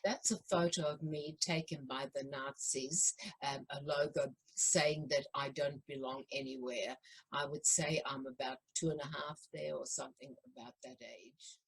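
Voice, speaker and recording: A woman in her eighties from Austria giving personal testimony over a video call, measured, with small restarts. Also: rumbling low, fairly even intonation, vocal fry, slightly rough, rough recording. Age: 80s. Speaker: Holocaust survivor interviewee.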